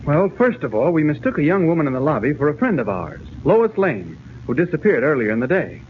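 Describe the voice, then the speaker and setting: An earnest reporter, animated, old radio. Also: male voice, fairly high pitch, fairly quick. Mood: puzzled, probing. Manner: urgent, earnest, persuasive. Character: earnest, insistent adult male reporter investigating a mystery. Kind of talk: 1940s radio serial acting. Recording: scratchy, narrow-band 1940s radio recording.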